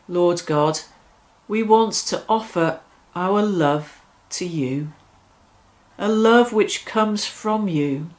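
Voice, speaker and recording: A middle-aged woman leading evening worship in a measured, reverent way, with deliberate phrasing, speaking indoors. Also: lowish voice. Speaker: church worship leader. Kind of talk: devotional reflection and led prayer. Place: small furnished room indoors.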